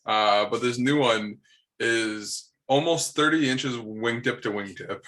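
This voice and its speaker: A man's voice: medium pitch, slow, pushed and bright. A man chatting with friends, hesitant and full of fillers. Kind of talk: casual chat among friends.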